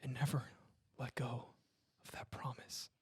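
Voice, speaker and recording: A man's voice: mid-range voice, flat delivery, unhurried, a little mellow, breathy edge, whispered, pitched below the performer's own voice. A man playing an earnest, devoted young lover, pleading solemnly and melodramatically in a mock film table read. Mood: solemn devotion.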